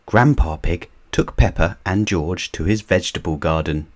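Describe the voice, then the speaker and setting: A man reading a children's picture book aloud in a gentle, measured bedtime manner. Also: low-pitched, animated. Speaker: reader of children's books.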